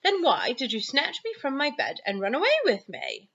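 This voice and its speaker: A woman's voice: high voice, wildly swooping pitch, normal speed, a bit bright, muffled recording, voice pushed up, heavily acted. A woman voicing a curious, anxious little girl in a children's storybook, speaking in direct questions. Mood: questioning. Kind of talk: children's storybook read-aloud.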